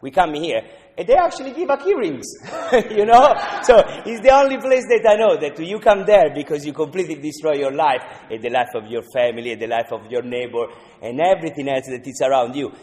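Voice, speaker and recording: A man from Italy with an Italian accent, sharing his story at a twelve-step recovery meeting in halting English with many "you know"s. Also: fairly high pitch, expressive, a little mellow. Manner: halting non-native English, frequent "you know", earnest. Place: meeting room. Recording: echoey hall, speaker off-mic.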